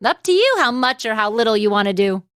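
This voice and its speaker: A woman's voice: on the high side, very animated, quick pace, fairly bright tone, clear, resonant tone, no room sound, clean studio sound, soft background music. A woman voicing a laid-back, teasing female instructor in a video game, with a breezy, nonchalant drawl. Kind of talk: English video game voice acting.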